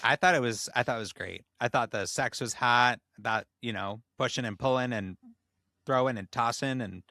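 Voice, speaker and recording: A man's voice: mid-range voice, normal speed, pushed and bright, no room sound, studio quality. A man co-hosting a podcast, with a playful, theatrical way of reading and chatting. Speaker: podcast co-host. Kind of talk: casual chat and dramatic reading of a comic erotic story.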